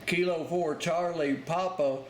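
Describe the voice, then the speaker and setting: A man's voice: on the high side, steady tone, measured pace. A man giving an informal hands-on tutorial as an instructor, explaining each step plainly as he goes. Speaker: amateur radio Morse code instructor. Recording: roomy, very noisy, with clicking and beeps.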